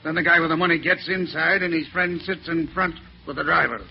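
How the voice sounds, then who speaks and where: An actor playing a surly small-time crook in a 1940s radio drama, rough, slangy and defensive, with a gravelly voice. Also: male voice, fairly high pitch, fairly even intonation, a little fast. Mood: grudging, defensive. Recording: muffled, noisy old radio broadcast.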